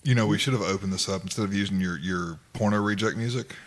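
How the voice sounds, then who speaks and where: Fast-talking blunt low-voiced man. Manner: blunt, swears freely, teasing. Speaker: podcast co-host. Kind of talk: casual podcast banter.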